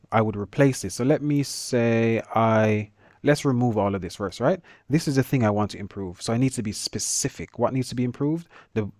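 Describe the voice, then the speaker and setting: A man with a Jamaican accent walks students through a document on his screen. His voice sits on the low side and comes across dry and close, with no room around it. He talks quickly, restarting words and stuttering now and then. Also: darkish tone, no echo. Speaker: teacher. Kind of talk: screen-share tutorial.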